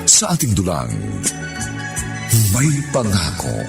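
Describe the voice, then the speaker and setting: A man's voice: deep voice, huge pitch swings, slow pace. A man with a Filipino accent announcing a radio drama in a theatrical, scripted style. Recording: music under the voice. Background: prominent music.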